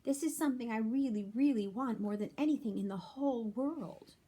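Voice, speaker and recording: A woman's voice: on the high side, fairly quick, dark tone, slightly rough, pitched above the performer's own voice. A woman voicing an eager young schoolgirl who begs insistently in a children's storybook. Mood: pleading.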